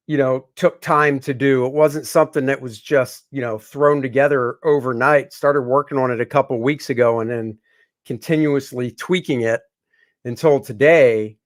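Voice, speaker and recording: A man co-hosting a casual livestream, rambling and joking with plenty of fillers. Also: mid-range voice. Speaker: comic artist co-host.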